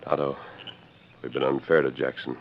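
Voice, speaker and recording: An actor playing a commanding, righteous masked cowboy hero in a 1940s radio western, with an authoritative, emphatic delivery and clipped orders. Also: male voice, a bass voice, level tone, moderate pace, vocal fry. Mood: grave. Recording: noisy lo-fi 1940s radio recording.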